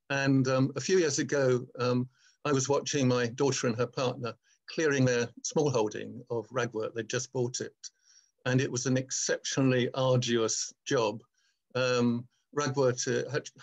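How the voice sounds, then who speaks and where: An older man, a poet, speaking in a measured way as he presents his poetry at an online reading. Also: medium pitch, creaky. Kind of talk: introducing and reading own poem at an online poetry event.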